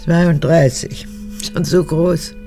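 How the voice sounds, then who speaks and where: An actress in a radio drama playing a manipulative, childish old woman in her eighties, an unrepentant former camp guard, with a husky, gravelly voice. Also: lowish voice, fairly even intonation, slow, over music. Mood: confused, needy. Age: 80s. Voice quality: husky, gravelly, often breaking into whispers. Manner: capricious, swinging between childlike pleading and cold recounting.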